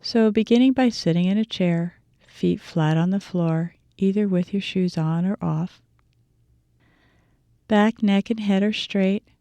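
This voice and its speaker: A woman teacher leading a guided meditation with gentle, measured phrasing and deliberate pauses. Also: mid-range voice, no room sound.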